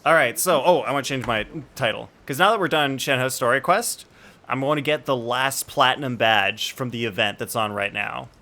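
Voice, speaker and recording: A man's voice: mid-range voice, normal speed, pushed and bright. A man chatting casually on a game livestream, informally thinking aloud as he plays. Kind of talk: casual livestream gaming chat. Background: music.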